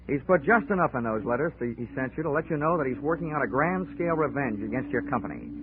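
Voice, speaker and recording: An actor playing a wisecracking, unflappable investigator in a clipped, wry 1940s radio drama style. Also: male voice, medium pitch, lively intonation, fast talker, slight background noise, lightly acted. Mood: brisk, cool. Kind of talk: 1940s radio acting, detective narration. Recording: muffled old radio recording, music behind.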